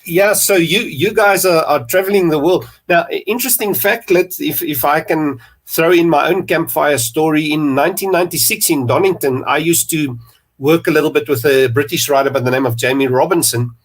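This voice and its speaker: Chatty South African man hosting, slightly high voice. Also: fairly quick. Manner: rambling, lots of fillers and restarts. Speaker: podcast host. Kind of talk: conversational interview.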